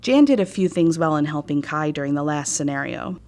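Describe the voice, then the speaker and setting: An American woman narrates a staff training course. Her voice is a little low, and she reads in a measured, formal, instructive manner. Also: expressive, a little mellow.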